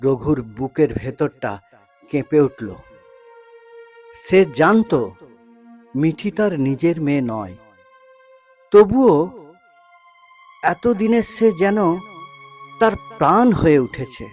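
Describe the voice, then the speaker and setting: A man with a fairly high voice tells a story slowly and emotively. He breaks it into short, dramatic phrases with pauses between them. Music plays softly behind him. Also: very animated. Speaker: storyteller, narrator. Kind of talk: dramatic scripted story narration in Bengali.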